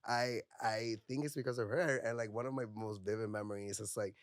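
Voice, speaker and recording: A young adult man from Puerto Rico, a counselor speaking as a podcast guest in candid conversation, reflective and soft-spoken, peppering his talk with "like" and "you know". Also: on the low side, steady tone, brisk, no room sound, studio quality.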